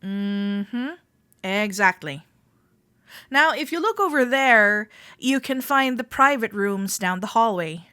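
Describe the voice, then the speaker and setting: A woman playing a friendly, teasing club member giving a tour in a roleplay audio, speaking to the listener in a gentle, reassuring way. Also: medium pitch, lively intonation, slow pace, clean tone, no room sound. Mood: welcoming.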